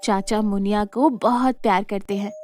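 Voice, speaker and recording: A woman's voice: medium pitch, fairly even intonation, normal speed, darkish tone, soft background music. A woman narrating a scripted children's moral story as the storyteller.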